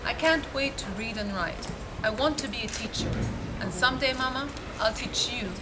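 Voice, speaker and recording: A woman's voice: fairly high pitch, moderate pace, close to an everyday voice. Woman reading as an earnest young village girl. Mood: hopeful, determined. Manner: pleading, earnest. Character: eager, determined young village girl pleading for school. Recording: noisy live event reading.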